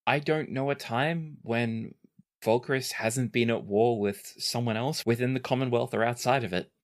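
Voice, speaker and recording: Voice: mid-range voice, steady tone, normal speed, lightly acted. A tabletop player voicing a reserved, hesitant young princess who speaks with slight formality. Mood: matter-of-fact. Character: reserved young princess, reluctant, formal, matter-of-fact about war.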